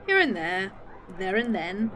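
A woman's voice: mid-range voice, big pitch swings, pushed and bright, rough recording. An actress playing a snarky, teasing woman time traveler with a fake arm in an indie audio drama. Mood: playful. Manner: dry, teasing, quick-witted.

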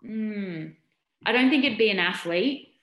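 A middle-aged Australian woman from Newcastle, a former basketball player, answering interview questions in a chatty, animated way with lots of ums and restarts. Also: medium pitch, unhurried. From Newcastle, New South Wales, Australia. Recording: echoey room.

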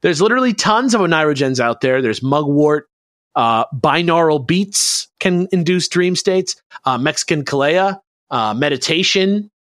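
A man's voice: fairly high pitch, animated, normal speed, a bit bright, dry recording, studio quality. A man hosting a podcast, explaining things in a casual, rambling, conversational way. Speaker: podcast host presenting a researched topic.

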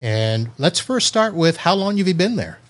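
A man's voice: fairly high pitch, sing-song, moderate pace. A man from Florida hosting a podcast over a video call, chatting casually, joking and laughing often. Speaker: podcast host. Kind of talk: casual interview chat.

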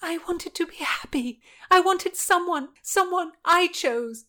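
Woman voicing high-pitched, impassioned young Tudor lady Anne Boleyn. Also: moderate pace, close to an everyday voice. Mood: wistful, tearful. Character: Anne Boleyn, frightened, defiant, passionate young pregnant lady. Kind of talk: period audiobook character voice.

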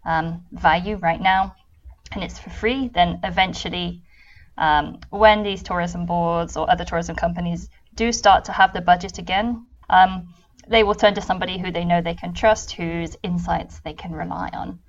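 A British woman from the UK, a travel consultant answering interview questions thoughtfully with many pauses and restarts. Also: on the low side, steady tone, highs cut off.

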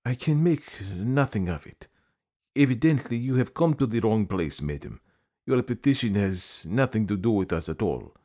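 A man's voice: fairly low pitch, lively intonation, moderate pace, warm tone. A man voicing a weary, irritable male bank official in a story, curt and languid as he explains himself. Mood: weary, dismissive.

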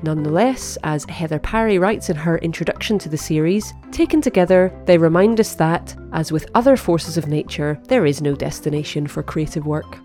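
A woman with a low voice presents a podcast from a script. She reads a prepared introduction, with music faintly behind her. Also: dark tone.

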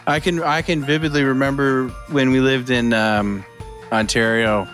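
A man co-hosting a casual podcast, telling a story with lots of fillers and laughter, sometimes dropping to a hushed voice. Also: mid-range voice, fairly even intonation, normal speed, faint music behind. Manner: laughs often, rambling with many fillers, drops to hushed tones. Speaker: podcast co-host.

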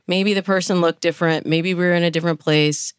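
A woman's voice: fairly low pitch, steady tone, fast, clear, resonant tone, no echo, studio quality. A woman speaking as a guest dating coach in a podcast interview, explaining advice and acting out imagined inner thoughts as examples.

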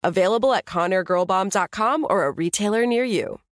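A woman reads a product ad in an upbeat, polished sales tone. Her voice is mid-pitched and sounds dry and close to the mic. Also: fairly quick, no echo. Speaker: ad narrator. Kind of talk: scripted ad read.

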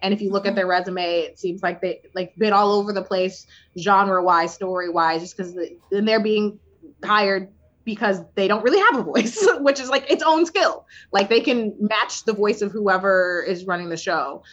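A woman's voice: medium pitch, moderate pace. Candid woman TV writer, muffled audio. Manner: candid, animated, many fillers. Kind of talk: casual interview conversation. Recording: dull lo-fi audio.

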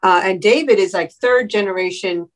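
A woman's voice: medium pitch, normal speed. A woman from Miami, a guest actress in an interview, explaining her points earnestly. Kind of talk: conversational interview.

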